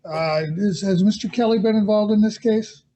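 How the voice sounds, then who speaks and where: A man presiding as judge in a court hearing held over a video call, speaking in a measured, hesitant way with many fillers. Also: sits high, dark tone, highs cut off, a little hiss or hum.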